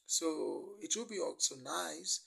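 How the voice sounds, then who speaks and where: A man's voice: a little high, very animated, slow, creaky, a bit bright. A middle-aged Nigerian-accented man, a native Yoruba speaker, preaching informally off the cuff in a rambling, repetitive manner. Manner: rambling, repetitive, often saying "you know". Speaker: lay preacher livestreaming to followers.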